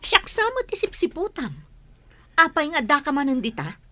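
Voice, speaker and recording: A woman's voice: high voice, sing-song, moderate pace, pushed and bright, full character voice. A woman acting a haughty, scornful madam in an Ilocano radio drama. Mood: defensive scorn. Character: haughty, sharp-tongued madam, scornful mistress of the house.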